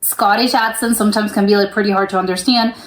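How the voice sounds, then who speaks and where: A woman's voice: medium pitch, fairly even intonation, fast talker, a little hiss or hum. A young woman from the United States hosting a reaction video, rambling casually with lots of "like" fillers and restarts. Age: young adult. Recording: roomy echo.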